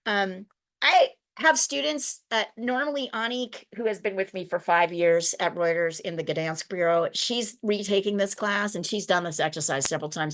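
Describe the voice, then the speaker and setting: A woman's voice: medium pitch, fairly bright tone. Encouraging woman teaching an online class. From United States. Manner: encouraging, chatty, with laughs. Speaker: art teacher. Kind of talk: online drawing class instruction. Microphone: video call.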